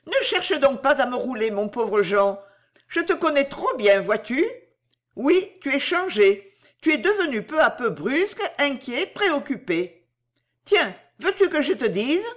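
Woman playing a coquettish young woman, high and lilting. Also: very animated, measured pace, exaggerated voice. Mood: teasing, probing. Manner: teasing, insistent, familiar. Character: playful, coquettish young woman, teasing and ingenuous.

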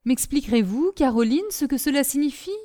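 Woman reading as a kindly, fatherly gentleman. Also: fairly high pitch, normal speed, pitched above the performer's own voice, natural voice. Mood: worried. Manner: paternal, kind, concerned. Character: kindly gentleman master of the house, fatherly, concerned. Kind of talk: audiobook character acting.

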